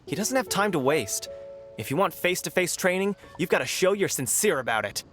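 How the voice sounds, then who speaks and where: An actor playing an eager, frank young martial arts student in a video game, speaking in an earnest, emphatic way. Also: male voice, sits high, sing-song, a little fast, a bit bright, faint music behind. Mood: frank, insistent.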